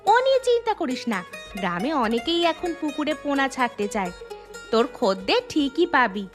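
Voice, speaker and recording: A woman's voice: high-pitched, very animated, fairly quick, music in the background. A woman voicing an encouraging cartoon village woman, persuasive and reassuring in her dialogue. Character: encouraging village woman planning a fish-fry business.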